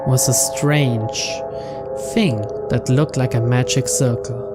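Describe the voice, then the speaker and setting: A man reads a game's story text aloud as narrator. His voice sits at a medium pitch. Game music plays clearly under the scripted narration. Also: steady tone, slow.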